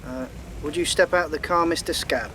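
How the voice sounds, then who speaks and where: A man's voice: fairly high pitch, a little fast, pushed and bright, a little hiss or hum. A man acting a sarcastic, mock-polite policeman in a comedy radio play. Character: dry, officious, sarcastic policeman.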